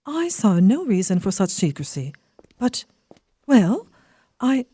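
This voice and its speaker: An actress playing an accused young governess, earnest, proper and distressed, with halting, emphatic delivery in melodramatic audio theatre. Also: female voice, mid-range voice, very animated, warm tone, husky, full character voice. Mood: hesitant.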